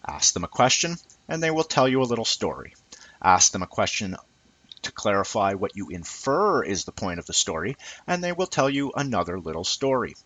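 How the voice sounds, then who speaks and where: A man reads a written essay aloud in a low, rough-edged voice. His delivery is deliberate and emphatic, with pauses set for dramatic weight. The sound is dry and close, with very little room in it. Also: sing-song, fairly bright tone, no echo, dull audio. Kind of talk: scripted essay read aloud.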